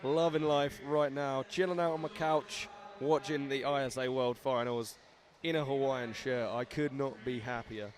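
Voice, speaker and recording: A young man hosting live commentary at a scooter event, excitable and laughing, reading out viewer shout-outs. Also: medium pitch, normal speed.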